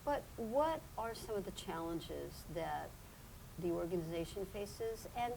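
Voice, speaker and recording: Woman interviewer, lowish voice, encouraging tone, faint background hiss. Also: lively intonation, measured pace, gravelly, dark tone, poor audio. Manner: encouraging, frequent affirming murmurs. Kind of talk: interview questions.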